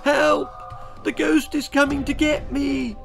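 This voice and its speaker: Man putting on a high-voiced little girl. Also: flat delivery, slow, gravelly, soft background music, exaggerated voice. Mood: terrified. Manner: childlike, simple exclamations. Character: curious little girl, a toddler turned small child. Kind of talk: children's horror-comedy audiobook storytelling.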